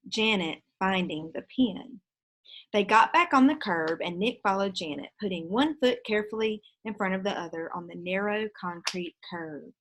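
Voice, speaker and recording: A woman's voice: medium pitch, fairly bright tone. Woman teacher reading aloud. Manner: explanatory, repeats reminders. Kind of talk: children's book read-aloud with explanations to pupils.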